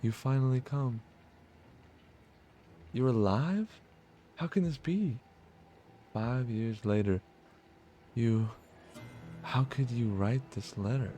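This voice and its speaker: A man's voice: mid-range voice, slow pace, long pauses, mellow, faint music behind, close to an everyday voice. A man reading the lines of a bewildered, wary husband in a visual novel, solemn and questioning. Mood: shocked. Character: bewildered, wary husband confronting his lost wife. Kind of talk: visual novel dialogue read aloud.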